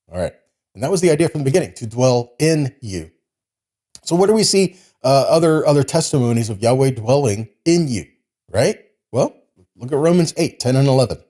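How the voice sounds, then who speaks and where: Man teaching scripture in a mellow, dark voice. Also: mid-range voice. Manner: didactic, checking in with short tag questions. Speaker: religious teacher giving a sermon. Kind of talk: Bible teaching with scripture reading.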